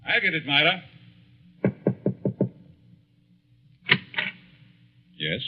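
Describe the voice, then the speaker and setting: An actor playing a polite, evasive professor and husband in a 1940s radio mystery, husky-voiced, formal and a little hesitant. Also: male voice, fairly high pitch, wildly swooping pitch, fast, faint music behind, exaggerated voice. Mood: uneasy. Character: mannered male psychology professor, outwardly polite, evasive husband. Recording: muffled vintage radio recording.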